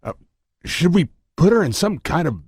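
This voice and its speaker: A man playing a dim, callous, thuggish crooked cop in an audio drama, speaking in a halting, crude way. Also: high-pitched, big pitch swings, breathy edge, dry recording, studio quality, heavily acted. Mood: scheming, unsure.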